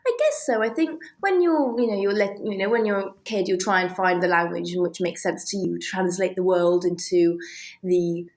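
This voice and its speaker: A woman answering as an interview guest, thinking aloud in a halting, reflective, conversational way. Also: lowish voice.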